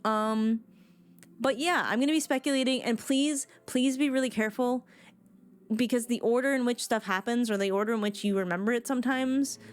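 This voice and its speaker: A woman's voice: fairly high pitch, moderate pace, clear, resonant tone. A woman game livestreamer chatting informally and casually with her viewers.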